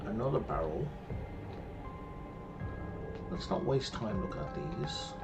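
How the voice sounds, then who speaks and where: A man's voice: fairly low pitch, big pitch swings, slow pace. A man casually thinking aloud while playing a mystery game, muttering to himself and explaining history as he goes. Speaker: gameplay streamer. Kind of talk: casual let's-play commentary. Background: game music.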